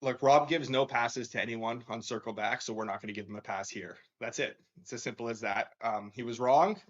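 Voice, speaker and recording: A man's voice: mid-range voice, a little fast. A man hosting a casual sports betting show, upbeat and laughing, with many fillers. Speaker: show host. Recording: dull lo-fi sound.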